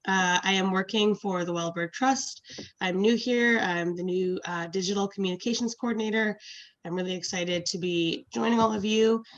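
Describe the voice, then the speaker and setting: A woman's voice: mid-range voice, moderate pace. A woman giving casual housekeeping announcements as an event tech coordinator, speaking informally and a little hesitantly on a video call. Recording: dull, muffled video-call audio.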